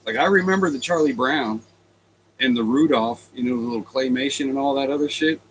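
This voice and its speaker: A man in his forties, chatting casually on a livestream. He jokes crudely and teases his audience, his voice sitting at an everyday middle pitch. A light background ambience runs under his talk. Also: brisk, a bit bright, highs cut off, a little hiss or hum. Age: 40s. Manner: joking, crude banter. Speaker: livestream co-host.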